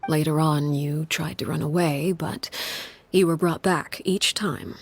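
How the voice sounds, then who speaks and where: A woman voicing a stern, solemn leader in a video game, speaking in a grave, measured, hushed way. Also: low voice, fairly even intonation, slight background noise. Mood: solemn, subdued. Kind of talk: dramatic video game acting.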